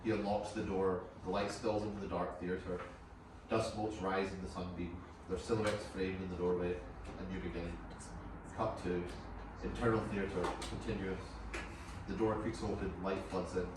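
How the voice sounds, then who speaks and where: A man's voice: sits low, flat delivery, normal speed. A man narrating scene directions from a film script at a table read, in a dramatic scripted style. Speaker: narrator reading stage directions. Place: echoey room at a group table read. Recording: very echoey, distant, noisy room.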